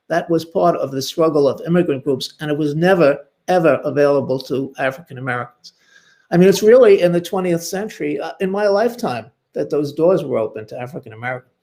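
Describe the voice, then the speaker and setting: An older man with a fairly high-pitched voice speaks as a panelist. He explains in emphatic, declarative statements and repeats key phrases for stress. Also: darkish tone. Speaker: panelist, history commentator. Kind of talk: spoken panel discussion, explanatory.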